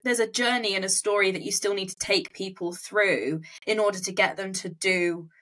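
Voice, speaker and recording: A woman's voice: medium pitch, normal speed, a bit bright, low-quality recording. A woman hosting a casual interview, often repeating words as she forms her questions. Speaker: podcast host interviewing an author-platform founder.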